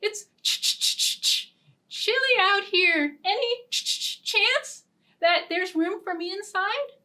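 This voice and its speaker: A woman's voice: very high-pitched, lively intonation, slow, sharp tone, pitched above the performer's own voice, exaggerated voice. A woman voicing a small squirrel whose teeth chatter from the cold, so it stutters on its words. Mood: shivery.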